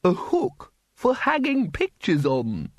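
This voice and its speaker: A man voicing a dotty, scatterbrained older male wizard who chirps brightly. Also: high-pitched, wildly swooping pitch, slow, dry recording, clean studio sound, voice pushed up, exaggerated voice. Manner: bright, dithering.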